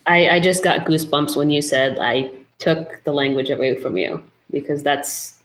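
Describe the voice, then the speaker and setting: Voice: medium pitch, normal speed, fairly bright tone, slight background noise, poor audio. A podcast host in a casual interview chat. This speaker mostly gives short, encouraging replies and reads out listener questions. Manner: brief, encouraging back-channel replies.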